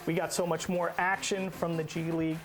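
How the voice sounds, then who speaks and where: A woman's voice: lowish voice, level tone, fairly quick. An American woman hosting a sports show, mixing upbeat scripted presenting with casual banter. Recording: music bed under the voice.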